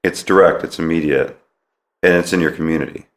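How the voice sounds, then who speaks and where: Male podcast host, low voice, conversational interviewing, recorded in an echoey room. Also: fairly even intonation, a little fast. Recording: roomy, echoey sound.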